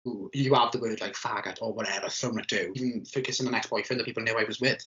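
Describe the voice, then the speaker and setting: A man's voice: lowish voice, fairly even intonation, fast talker, sharp tone. A young man, chatting casually as he tells personal stories. The sound is slightly muffled and lo-fi. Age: 20s. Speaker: interviewee.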